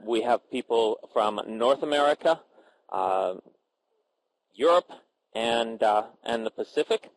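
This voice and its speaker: A man moderating a professional panel discussion, introducing guests and asking questions in a halting way with many filler sounds and restarts. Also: mid-range voice, a little hiss or hum, poor audio.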